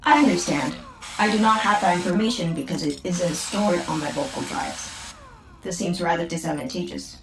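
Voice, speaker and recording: A woman's voice: on the low side, normal speed, echoey room, recorded from a distance, background noise, natural voice. A woman voicing a formal literal-minded android. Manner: precise, formal, flat phrasing.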